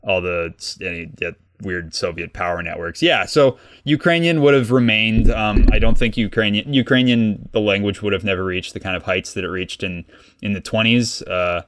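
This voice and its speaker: A man's voice: lowish voice, moderate pace, fairly bright tone. A man co-hosting a podcast, explaining history casually with many fillers and restarts. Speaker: podcast co-host, guest expert.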